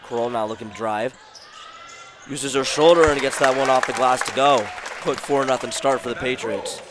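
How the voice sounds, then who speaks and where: A man doing play-by-play at a basketball game, his voice sore and worn from illness, calling the action in short brisk bursts. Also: mid-range voice. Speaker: play-by-play announcer. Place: school gym courtside. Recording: loud crowd and gym noise behind.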